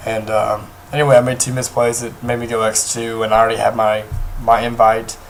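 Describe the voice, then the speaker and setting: A young man talks in a hoarse, worn voice, his pitch held flat and even. He rambles casually with frequent ums and restarts while going over a card deck. A faint hiss of room noise sits under him. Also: fairly quick. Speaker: card game deck reviewer. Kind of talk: casual deck profile talk. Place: indoors at a table, off camera.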